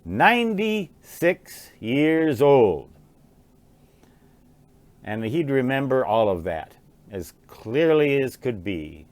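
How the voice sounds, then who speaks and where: An American man in his sixties, musing aloud in a halting, rambling monologue and often repeating words. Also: medium pitch, big pitch swings, no room sound. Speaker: vlogger, writer and ham radio hobbyist.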